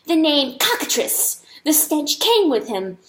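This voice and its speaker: A tiny storybook mouse, played by a woman, squeaks at a very high pitch with frantic urgency. He is a desperate, hate-filled accuser, pleading and shrieking in an echoey room. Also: sing-song, unhurried, slight background noise. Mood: grim accusation. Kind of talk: dramatic audiobook animal fable.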